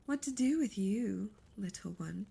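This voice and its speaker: A woman voicing a seductive, imperious demon succubus in an ASMR roleplay, breathy and often whispering, with formal, teasing, menacing diction. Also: mid-range voice, expressive, a little slow, warm tone, exaggerated voice. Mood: commanding, teasing.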